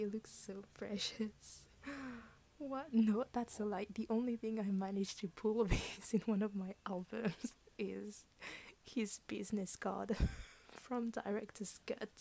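Woman, whisper, tired, mellow tone, gushing, rambling chat. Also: mid-range voice, vocal fry, slight background noise. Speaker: unboxing vlogger.

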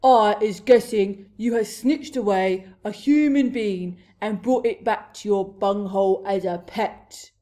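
A woman voicing a huge, gruesome male giant in a storybook, a booming brute who bellows in broken grammar and nonsense insults. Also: unhurried, hardly any pauses, warm tone, lightly acted. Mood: gloating, threatening. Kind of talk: storybook read-aloud character voice.

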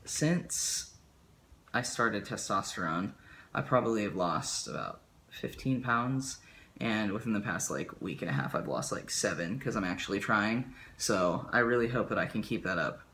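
Bright-voiced young man rambling to camera. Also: lowish voice, expressive, moderate pace, slight background noise. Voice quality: voice cracking. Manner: rambling with many fillers and likes. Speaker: vlogger. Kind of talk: casual talk to camera. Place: small bedroom with ceiling fan.

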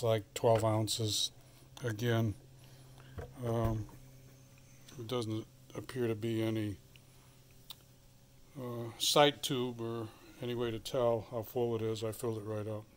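A man's voice: mid-range voice, a little hiss or hum. Plainspoken man demoing a chainsaw. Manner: rambling, plainspoken, thinks aloud with many fillers. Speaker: channel host. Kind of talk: casual how-to demonstration. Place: home garage workshop, then outdoors.

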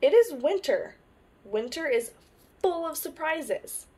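A young woman hosting storytime, reading a children's picture book aloud with animated, deliberate phrasing. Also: high voice, background noise. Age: young adult. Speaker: storytime host.

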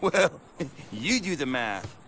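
A man's voice: on the high side, big pitch swings, slow, creaky, fairly bright tone, a little hiss or hum, exaggerated voice. A man playing a goofy, gleeful slacker skater who teases as he tells tales in a video game. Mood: gleeful teasing. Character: goofy gleeful motormouth slacker skater, useless trivia buff. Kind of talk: video game cutscene acting.

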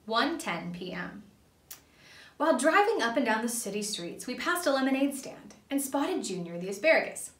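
Young female storyteller, bright and high, children's read-aloud, roomy camera sound. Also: very animated, normal speed. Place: small indoor playroom. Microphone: camera a few feet away. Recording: roomy, distant camera microphone.

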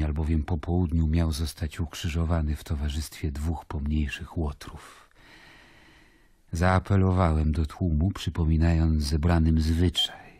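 An actor playing a weary, troubled Roman governor, narrating in a measured, deliberate way in a radio play. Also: male voice, extremely low pitch, warm tone, slightly rough, no echo, studio quality, exaggerated voice. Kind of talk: dramatic first-person narration in a radio play.

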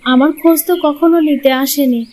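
A woman's voice: high voice, steady tone, brisk, a little mellow, close to an everyday voice. A woman voicing a poor, weary widowed village mother in a cartoon, tender and plaintive, loving but doubtful. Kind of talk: Bengali moral-tale cartoon dubbing.

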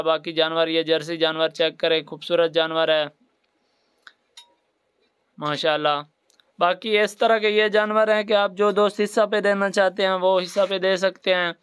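A man's voice: a little high, a bit bright, clean tone. A man with a Pakistani accent narrating a promotional livestock sales video in repetitive sales patter.

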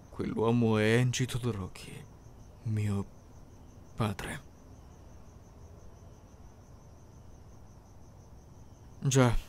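Man playing low-voiced, courteous young anime heartthrob. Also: darkish tone, a little hiss or hum. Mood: guarded, serious. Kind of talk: Italian ASMR roleplay acting to the listener.

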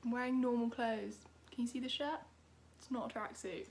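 A woman's voice: fairly high pitch, fairly even intonation, brisk, darkish tone, a little hiss or hum. A young British woman chatting casually to camera as a vlogger, informal and self-deprecating. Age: young adult. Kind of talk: casual vlog chat to camera.

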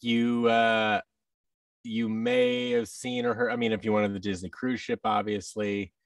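An American man in his thirties chatting casually as an interview guest, in a halting, self-effacing manner. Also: fairly low pitch, lively intonation, creaky, dry recording, distant mic. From United States. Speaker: guest, voice actor. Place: office room indoors, video call. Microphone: boom microphone and headset on a video call.

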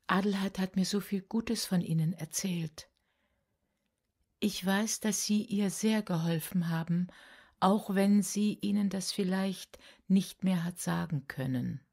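An actress playing a grave, sorrowful elderly woman reading out her confiding letter in a radio drama, measured and formal. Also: lowish voice, a little mellow, full character voice. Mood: solemn, grateful.

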